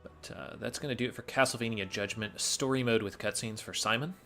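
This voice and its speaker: A man's voice: fairly low pitch, fairly quick, a bit bright. A man giving explanatory gameplay commentary as a speedrunner, matter-of-fact and instructive. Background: faint game music.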